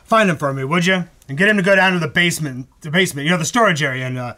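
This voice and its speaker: A man playing a fretful, hurried studio boss in a live old-time radio drama, stammering and repeating himself. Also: fairly high pitch, pushed and bright. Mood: flustered.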